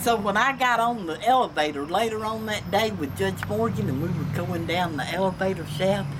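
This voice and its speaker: An older Southern woman with a Georgia accent spins personal tales in a casual, folksy way. Her delivery is blunt and salty, at a medium pitch. She is recorded outdoors on a porch, with clear background noise from vehicles and the surroundings. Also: animated, a little fast. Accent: Southern American, Georgia. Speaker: storytelling grandmother. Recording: noisy outdoor home video, passing vehicles.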